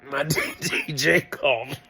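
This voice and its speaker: A man with a deep voice, sick and coughing, telling a rambling, wry story in a vlog. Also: steady tone, very slow, gravelly, sharp tone, husky. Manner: wry, self-mocking, meandering asides. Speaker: vlogger.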